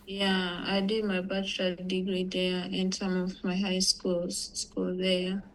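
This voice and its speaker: A woman from Rwanda, a student, answering her tutor on a video call in short, soft, hesitant replies. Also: lowish voice, completely flat delivery, takes it slowly, rough recording.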